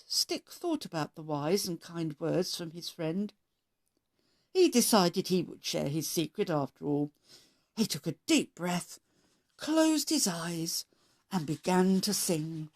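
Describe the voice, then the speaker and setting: A woman narrating a children's story as a read-aloud host, expressive and measured in her delivery. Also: fairly low pitch.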